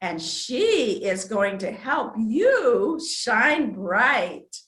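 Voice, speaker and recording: A woman's voice: a little high, big pitch swings, drawn-out words, a bit bright. An enthusiastic, encouraging woman hosting a children's storytime show, introducing and interviewing a guest in an echoey space. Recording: roomy, echoing sound.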